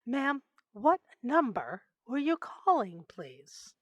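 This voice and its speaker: An actress playing a courteous, unflappable telephone operator in an old-time radio play, speaking in detached set phrases. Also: female voice, high voice, very animated, takes it slowly, no echo, muffled recording, full character voice. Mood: detached, patient. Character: polite, unflappable female telephone switchboard operator.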